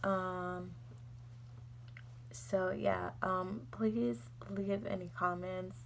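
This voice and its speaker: Young woman, heavy vocal fry, casual storytelling, exasperated rambling delivery, faint humming background noise. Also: lowish voice, level tone, extremely slow. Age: young adult. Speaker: vlogger.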